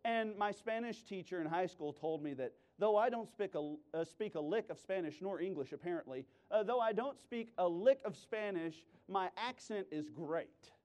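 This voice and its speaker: A man preaching in an emphatic sermon style, with sudden loud exclamations and playful, self-deprecating humor. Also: high voice, animated, normal speed, hardly any pauses. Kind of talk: animated sermon with humorous storytelling.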